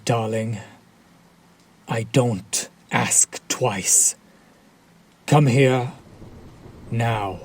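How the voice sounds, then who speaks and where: A silky, domineering male vampire played by a male voice actor speaks intimately to the listener in an audio roleplay. He draws his words out extremely slowly at a middle pitch, often sinking into a breathy whisper. Clear background ambience sits behind the voice. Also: darkish tone, slight background noise. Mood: cold command. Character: sadistic, possessive male vampire lord, silkily commanding.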